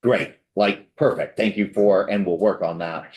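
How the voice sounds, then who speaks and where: A man's voice: low voice, fairly even intonation, measured pace, a little mellow. A man testifying before a committee in a conversational, reassuring way. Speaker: corrections official testifying. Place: legislative hearing room. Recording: echoey hearing room.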